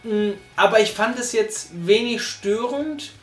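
A man's voice: sits high, unhurried. A young man reviewing an audio drama, speaking casually from a sofa in a small living room, rambling with many fillers and restarts, picked up by a distant camera. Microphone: far camera. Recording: roomy room sound, faint music.

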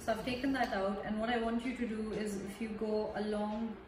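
A woman's voice: mid-range voice, level tone, fairly quick, recorded from a distance. A woman in her thirties, a chef, patiently talking someone through a cooking demonstration step by step in a large home kitchen. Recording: echoey kitchen with background noise.

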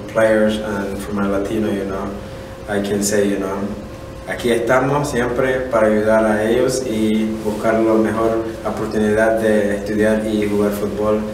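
Echoey, low-voiced man with Central American accent. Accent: Central American Spanish. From Honduras. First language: Spanish. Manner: halting, repeats "you know". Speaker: assistant soccer coach. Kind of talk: casual interview answers. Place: indoor room at a college. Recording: echoey room, distant mic, background noise.

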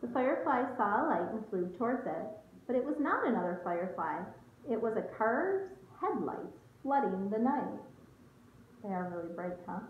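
A woman in her thirties reads a picture book to young children with a very animated, swooping intonation. She pauses to put questions to her listeners. The sound is thin and phone-like, in a roomy space at home with faint noise. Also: fairly high pitch. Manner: expressive, questioning, speaking to children. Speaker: teacher reading aloud to young children. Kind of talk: picture-book read-aloud with asides to children. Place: small room at home.

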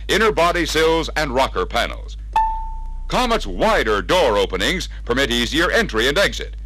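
A man's voice: fairly high pitch, sharp tone. An American man reading scripted 1960s sales-film narration in a polished, emphatic announcer manner, on a vintage record.

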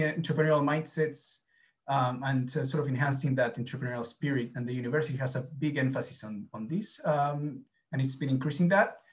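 A man in his thirties from Argentina with an Argentine Spanish accent, giving a conference presentation over a video call with many fillers and restarts. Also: mid-range voice. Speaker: academic presenter.

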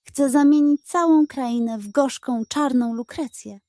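A woman's voice: sits high, unhurried, dark tone, dry recording. A woman voicing an anxious, elderly tiny chocolate man with a trembling voice in a children's story. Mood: frightened. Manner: fearful, urgent.

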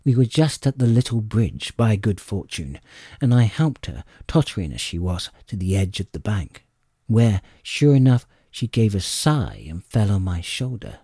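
A man narrating an adventure audiobook in an expressive, measured storytelling manner. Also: lowish voice, breathy edge, no echo, clean studio sound. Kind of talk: dramatic scripted storybook narration.

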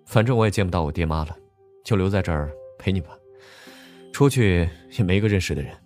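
A man's voice: deep voice, animated, quick pace, darkish tone. A man playing a bookish young student loner in an audio drama, speaking matter-of-factly. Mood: flat, resigned. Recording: music under the voice.

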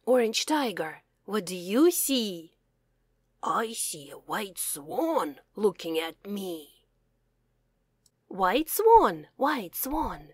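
A woman reads a children's picture book aloud at a very slow, deliberate pace. Her pitch rises and falls in a lively sing-song way. The recording is dry and close. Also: no echo. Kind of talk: slow read-aloud for young learners.

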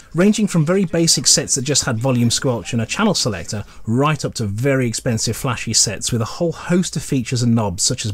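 British man narrating, lively and wry. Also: mid-range voice. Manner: chatty, wry, colloquial humour. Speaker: presenter. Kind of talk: scripted retrospective documentary narration.

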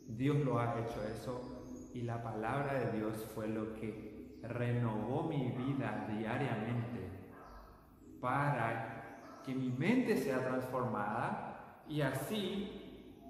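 A man in his twenties from Paraguay with a Paraguayan Spanish accent, teaching a Bible lesson to a camera in a small room with a whiteboard, speaking slowly and deliberately. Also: mid-range voice. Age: 20s. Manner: slow, deliberate, gestures while speaking. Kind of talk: warm-toned classroom lecture to camera. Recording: very echoey room with a long reverb tail and faint noise.

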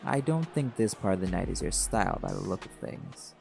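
A man's voice: deep voice, animated, fairly quick, vocal fry, darkish tone, heavily acted. An actor voicing a laid-back, teasing male jaguar shifter in an audio roleplay, blunt and flirtatious, with music playing behind.

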